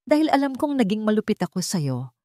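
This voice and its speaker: A woman voicing an earnest, tender young man in love, speaking gently in a storybook romance. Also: mid-range voice, very animated, a little fast, no echo, studio quality, voice pushed up, close to an everyday voice.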